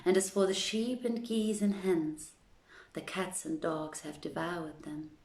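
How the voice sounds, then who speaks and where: A woman voicing a lamenting male servant in a storybook tale, with emphatic, drawn-out phrasing. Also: fairly low pitch, fairly even intonation, vocal fry, a little mellow, reverberant. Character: male servant, theatrically wailing, reporting disaster.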